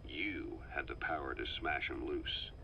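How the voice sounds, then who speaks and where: A man playing a businesslike middle-aged military comrade in a video game, gruff and matter-of-fact, on a dull tape recording. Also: extremely low pitch, a little slow, bright, forceful tone, full character voice. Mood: grave, explanatory. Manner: matter-of-fact, conversational, terse. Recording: dull cassette-tape sound with hiss.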